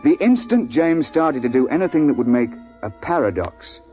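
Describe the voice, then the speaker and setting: A man's voice: a little high, sing-song, moderate pace, faint music behind. An actor playing an urbane, unflappable British big-game guide who wryly tells his tale in a 1950s radio drama. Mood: explanatory. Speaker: lead actor and narrator. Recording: muffled 1950s radio recording.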